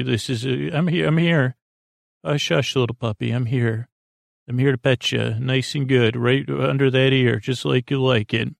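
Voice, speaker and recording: Creaky gravelly guy doing a soothing rambly bedtime podcast, halting and kinda whimsical, really dry close sound. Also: mid-range voice, no echo. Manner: meandering, halting, trails off mid-thought. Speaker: podcast host. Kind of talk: rambling bedtime monologue to the listener.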